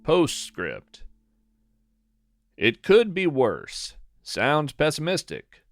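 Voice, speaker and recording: Slow, lively-toned male podcast narrator. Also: on the high side, faint music behind. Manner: calm, measured, gently philosophical. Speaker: podcast host and writer. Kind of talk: scripted reflective narration.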